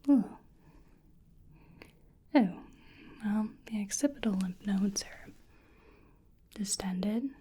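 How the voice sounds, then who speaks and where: A woman playing a hesitant, curious creature researcher in a soft-spoken, whispered ASMR exam roleplay, musing gently and apologetically. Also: mid-range voice, takes it slowly, long pauses, slightly rough. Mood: hesitant, apologetic.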